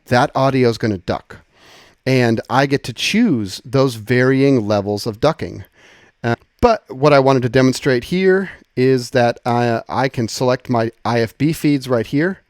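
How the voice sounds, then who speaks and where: A man giving an informal, conversational software tutorial, explaining step by step and often rephrasing as he goes. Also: medium pitch, no room sound, clean studio sound. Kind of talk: casual screen-share tutorial, explanatory.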